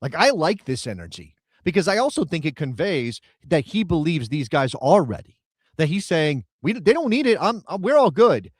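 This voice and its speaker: A man co-hosting a casual soccer talk show, emphatic and animated, often repeating words as he builds a point. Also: a little high, moderate pace, slightly rough, dry recording, studio quality. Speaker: co-host and radio broadcaster.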